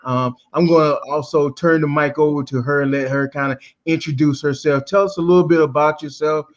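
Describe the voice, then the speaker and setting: A man's voice: a little high, steady tone, a little fast, muffled recording. A man co-hosting a podcast, talking loosely and repeating himself as he hands over to the guest. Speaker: podcast co-host. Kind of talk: casual podcast chat.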